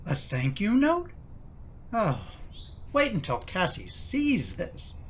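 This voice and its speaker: A man's voice: high voice, wildly swooping pitch, slow pace, dull audio, a little hiss or hum, heavily acted. A man playing a harried, placating middle-aged husband and son in a radio play, a little hesitant. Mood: wry, fed up.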